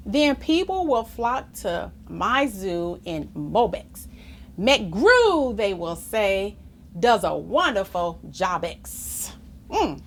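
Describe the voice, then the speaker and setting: A woman reading a rhyming children's book aloud in an expressive, theatrical way, with chatty asides to young listeners. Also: mid-range voice, a little hiss or hum. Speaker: storybook reader.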